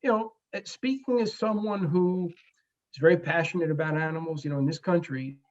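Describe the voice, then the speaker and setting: A man in his forties from the Bronx, a native Spanish speaker, speaking earnestly and haltingly as a guest in a conversational interview. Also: a little high, highs cut off. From the Bronx, New York, United States. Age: 40s. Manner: earnest, halting, frequent pauses mid-phrase. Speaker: guest, comic book creator.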